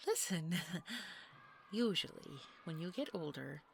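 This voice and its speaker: A woman playing a sweetly menacing, possessive Mrs. Claus in an intimate audio roleplay, cooing and teasing in a breathy, husky, often whispering voice. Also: on the low side, very animated, slight background noise, heavily acted. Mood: quietly menacing. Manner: slow, cooing, teasing, condescending.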